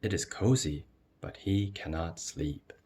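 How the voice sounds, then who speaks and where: A man reading a children's picture book aloud as an expressive storyteller. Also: low-pitched, unhurried, a little hiss or hum. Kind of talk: expressive read-aloud narration.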